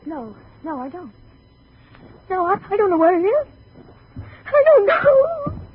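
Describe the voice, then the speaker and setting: An actress playing a troubled, guarded American femme fatale with melodramatic hesitations, in 1950s radio drama style. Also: female voice, very high voice, very animated, measured pace, heavily acted. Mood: distraught. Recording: dull, faintly noisy vintage radio recording.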